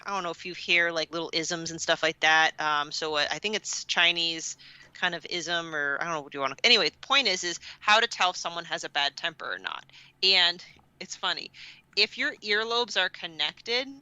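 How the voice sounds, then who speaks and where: Female podcast co-host, very bright lowish voice, animated and exclamatory, casual chat, dry close sound. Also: normal speed, clear, resonant tone, no room sound.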